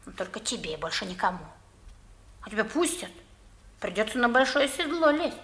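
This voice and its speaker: A woman's voice: on the high side, sing-song, moderate pace, fairly bright tone. An actress playing a bold, secretive, prickly young girl in a 1960s radio drama, blunt and childlike in delivery.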